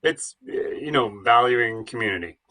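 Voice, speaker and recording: A man's voice: mid-range voice, lively intonation, moderate pace, pushed and bright. A man playing a hesitant, stammering, defensive would-be bohemian in his late thirties in an audio play. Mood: flustered, evasive.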